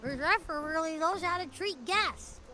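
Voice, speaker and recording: Bright, high-pitched cheeky little creature. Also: female voice, moderate pace, faint music behind. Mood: excited, greedy. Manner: playful, expressive, whiny when put out. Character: small, cheeky, gluttonous, excitable creature companion. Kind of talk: video game voice acting.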